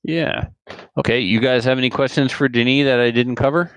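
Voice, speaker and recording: A man's voice: mid-range voice, steady tone, brisk, fairly bright tone, smooth. A man hosting a podcast in casual chat, joking and self-deprecating. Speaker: podcast host.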